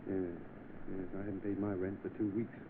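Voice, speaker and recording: An actor playing an eager, hard-up young job seeker in 1930s film style. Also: male voice, low-pitched, level tone, a little fast, lightly acted. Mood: polite, downcast. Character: eager, hard-up young man seeking work. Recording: noisy narrow-band old film soundtrack.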